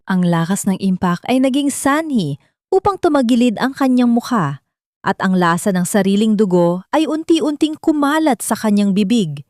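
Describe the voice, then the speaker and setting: A woman narrator delivers a scripted audio drama. Her voice sits at a middle pitch and she tells the story in a solemn, measured, literary way. The recording is dry, with little room sound. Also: darkish tone, dry recording.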